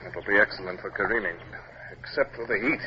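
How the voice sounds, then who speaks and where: An actor playing a stern, formal British naval captain with a clipped, commanding manner in an old radio drama. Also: male voice, lowish voice, a little fast, lightly acted. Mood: brisk, commanding. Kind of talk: 1950s radio drama acting with first-person narration. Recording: muffled, noisy old 1950s radio recording.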